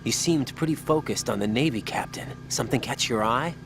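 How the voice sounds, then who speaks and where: A man's voice: mid-range voice, a little fast, vocal fry, music in the background, natural voice. A man playing an earnest young special forces rookie in a video game, questioning and uncertain. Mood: probing. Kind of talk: dramatic video game voice acting.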